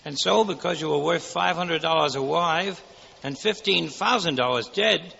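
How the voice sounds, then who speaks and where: An actor playing an eager, quaint, kindly angel in 1940s radio drama style, prodding with questions. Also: male voice, fairly high pitch, normal speed, creaky, sharp tone, exaggerated voice. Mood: scheming, pleased. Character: eager, quaint second-class male angel, inquisitive and kindly. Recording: muffled, noisy 1940s radio recording.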